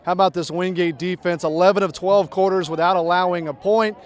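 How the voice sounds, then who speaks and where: A man's voice: high-pitched, steady tone, brisk. A young man, a sports broadcaster, leading a postgame sideline interview outdoors with a handheld mic, in upbeat play-by-play phrasing. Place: outdoors on a football field.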